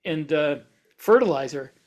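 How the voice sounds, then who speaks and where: A man in a casual podcast discussion, speaking as an opinionated co-host, halting at times and repeating words for emphasis. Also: fairly high pitch, animated, a little mellow, lo-fi sound.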